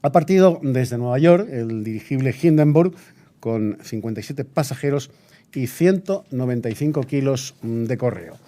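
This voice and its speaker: A man's voice: mid-range voice, animated, normal speed, dark tone, lightly acted. A man playing a solemn, indignant Spanish radio newsreader of 1936, reading a formal, declamatory bulletin. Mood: formal, grave. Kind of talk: scripted period radio news bulletin.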